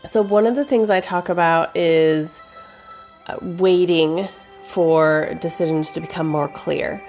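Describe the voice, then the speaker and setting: A woman who teaches intuition talks casually to camera in an advice video. Her voice is hoarse and froggy from allergies, and she speaks loosely with fillers and restarts. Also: lowish voice, measured pace, a little mellow, faint music behind. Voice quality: raspy.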